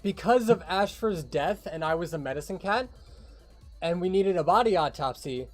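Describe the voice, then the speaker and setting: A man with a fairly high voice chats casually as a livestream co-host. He talks in a relaxed behind-the-scenes style, with faint music playing underneath. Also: normal speed. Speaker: fandub creator co-hosting a livestream.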